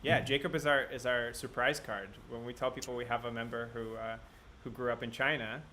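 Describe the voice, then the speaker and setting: Young male host, bright tense tone, reads fan questions, laughs a lot. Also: medium pitch, flat delivery, brisk, slight background noise. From Russia and Israel. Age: 20s. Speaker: band member moderating a live Q&A. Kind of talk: casual livestream chat.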